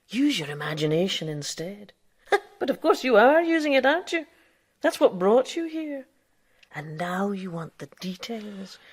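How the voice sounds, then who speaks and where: An actress playing a defiant woman who lived as a man, teasing and theatrical, dropping into breathy hushes, in radio drama style. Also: medium pitch. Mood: taunting, seductive.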